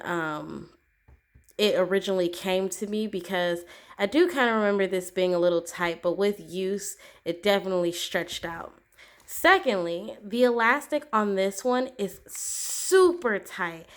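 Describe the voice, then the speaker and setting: Casual home vlogger, woman with a cold: congested, sore-throated, slow and chatty. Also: mid-range voice, a bit bright. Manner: chatty, loose, with many ums and likes. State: sore throat, clears throat often. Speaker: planner vlogger. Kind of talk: casual product comparison. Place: at home, at a desk. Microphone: camera aimed down at the desk, speaker off frame.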